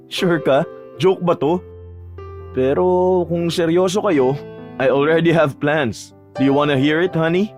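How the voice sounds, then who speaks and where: A woman narrator puts on a young man, a relaxed and affectionate boyfriend. The voice drops well below her own, in audio drama style with music behind. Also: deep voice, slow pace, pitched below the performer's own voice. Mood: amused, willing. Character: easygoing young man, sweet helpful boyfriend.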